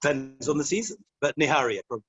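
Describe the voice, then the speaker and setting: A man's voice: on the high side, lively intonation, rapid-fire, a bit bright, faint music behind. A middle-aged man from Scotland, a guest answering interview questions in a wry, rambling way, with self-deprecating humor and frequent laughs.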